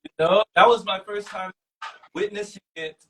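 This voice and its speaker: A man in casual, reflective conversation, speaking appreciatively in halting, broken phrases. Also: sits high, steady tone, fairly bright tone, a little hiss or hum, rough recording.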